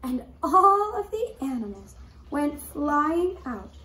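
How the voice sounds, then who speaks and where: A woman narrating a scripted children's story in a deliberate way, with dramatic pauses. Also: high-pitched, big pitch swings, sharp tone. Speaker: puppet show storyteller. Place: indoor room with draped puppet stage. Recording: roomy echo, faint background noise.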